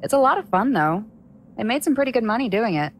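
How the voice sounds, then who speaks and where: A woman's voice: on the high side, big pitch swings, fast, a little hiss or hum. A woman playing a wry, chatty, self-doubting young stage magician in an audio drama. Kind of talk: casual audio drama acting.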